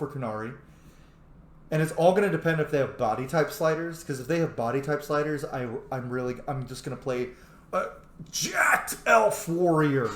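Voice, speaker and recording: A man giving casual roleplay commentary over a video game, chatty, rambling and informal. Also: mid-range voice. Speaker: gaming host. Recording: roomy, echoing voice recording.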